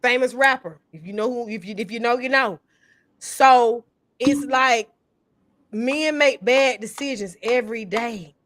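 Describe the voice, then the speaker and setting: A woman's voice: fairly high pitch, a little slow, fairly bright tone, no room sound. A woman in her thirties chatting casually on a panel, blunt and emphatic, with a lot of swearing. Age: 30s. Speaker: podcast panelist. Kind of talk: casual chat.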